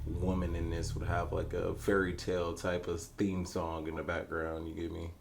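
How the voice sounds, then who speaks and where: A man's voice: low-pitched, completely flat delivery, moderate pace, gravelly, noisy background. A man co-hosting a reaction show with casual banter in slangy, colloquial speech. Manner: informal, slangy, colloquial grammar. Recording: loud music behind voice.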